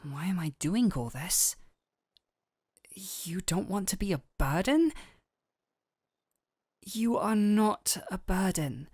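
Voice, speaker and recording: A woman playing a gentle but firm, caring fantasy doctor in an intimate roleplay audio, speaking softly and earnestly to the listener. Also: mid-range voice, lively intonation, husky, no echo, studio quality. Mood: earnest, guilty. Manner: soft, soothing, unhurried, earnest.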